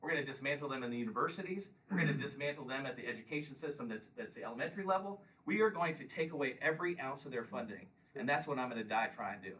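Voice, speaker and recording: Forceful man in his fifties, distant mic. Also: mid-range voice, expressive, rapid-fire, few pauses, slight background noise. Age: 50s. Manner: combative, emphatic, repeats "Okay?". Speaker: congressional candidate. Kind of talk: campaign stump speech and Q&A.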